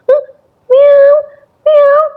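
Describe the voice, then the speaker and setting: A shrill scolding rabbit. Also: female voice, little pitch movement, extremely slow, narrow, tinny audio, full character voice. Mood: shocked. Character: fussy little female rabbit gardener.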